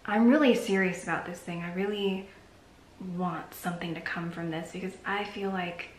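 A woman in her thirties talking to the camera in a casual, reflective vlog from her bedroom, sometimes dropping to a soft near-whisper. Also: on the low side, fairly even intonation, moderate pace. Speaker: vlogger. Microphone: camera mic at arm's length. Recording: echoey room with faint hiss.

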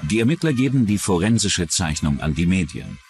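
Deep-voiced male documentary narrator over music. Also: big pitch swings, fairly quick, creaky, a little mellow. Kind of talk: scripted true-crime narration.

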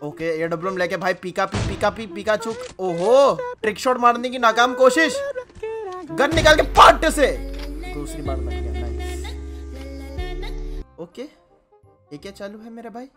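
A young man reacts to gameplay as a host. His delivery is casual and animated, peppered with slang and teasing exclamations. Music plays clearly behind his voice. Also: high voice, a little slow, pauses often. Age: young adult. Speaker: gaming YouTuber host.

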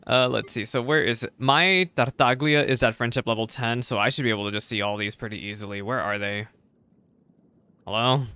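A man's voice: medium pitch, sharp tone, clean tone, no echo. A young man giving casual live reaction commentary as a streamer, close on the mic. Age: young adult. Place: indoor streaming setup. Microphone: close desk microphone.

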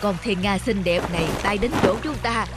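A caring older peasant woman from a cartoon, played by an actress. Her voice sits at a middle pitch and is expressive. Loud music runs underneath. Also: moderate pace, close to an everyday voice. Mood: pleased. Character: kindly older peasant woman, fisherman's wife. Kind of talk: Vietnamese cartoon dubbing.

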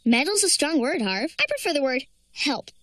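A woman's voice: high voice, sing-song, normal speed, a bit bright. A woman playing an earnest, well-meaning teenage girl in a cartoon. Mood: breezy. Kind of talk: cartoon voice acting. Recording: music behind the voice.

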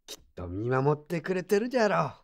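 An actor playing a gruff but kindly old craftsman in an audio drama, with rustic, plain-spoken elderly speech. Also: a little high, wildly swooping pitch, fairly quick, full character voice. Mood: calm, kindly. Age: older. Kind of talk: Japanese audio drama acting.

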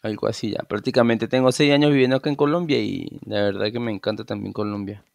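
A man's voice: fairly low pitch, fairly even intonation, quick pace, creaky, no room sound. A man in his thirties with a Venezuelan Spanish accent, chatting casually while streaming a game and rambling with easy restarts. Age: 30s. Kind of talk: casual gameplay chat. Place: small room at home. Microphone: headset.

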